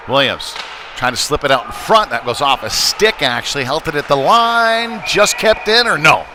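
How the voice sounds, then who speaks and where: A man giving live play-by-play of an amateur hockey game in an informal, folksy, conversational way. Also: mid-range voice. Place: indoor ice rink during a live game. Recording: noisy rink ambience behind voice. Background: clear rink and game noise.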